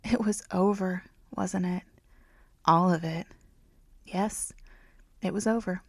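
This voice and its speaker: A woman reading a romance audiobook as its author, in a dramatic storytelling manner with hushed phrase endings. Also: fairly low pitch, fairly even intonation, slow, no room sound. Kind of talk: scripted narration, romance audiobook.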